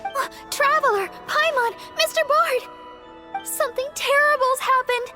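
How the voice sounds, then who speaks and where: A woman's voice: very high voice, fairly even intonation, unhurried. A woman voicing a sweet, anxious young girl in a video game, polite and full of alarm. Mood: panicked. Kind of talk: animated video game acting. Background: music.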